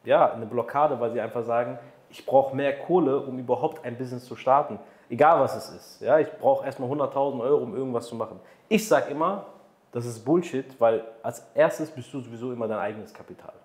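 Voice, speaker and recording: A man's voice: medium pitch, brisk, a little mellow. Roomy-sounding male podcast host. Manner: motivational, emphatic when making a point. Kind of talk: interview conversation. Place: studio room with city view. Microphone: desk microphone on boom arm.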